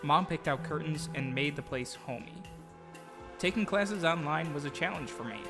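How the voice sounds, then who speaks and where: A man's voice: a little high, normal speed, music in the background. A man telling his own story as scripted audio drama narration, performing as the storyteller. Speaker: storyteller acting as himself.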